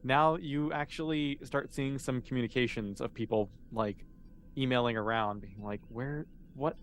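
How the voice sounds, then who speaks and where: A man's voice: medium pitch, moderate pace. Man running a tabletop game, noisy recording. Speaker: game master. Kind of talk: casual improvised narration of a tabletop role-playing game.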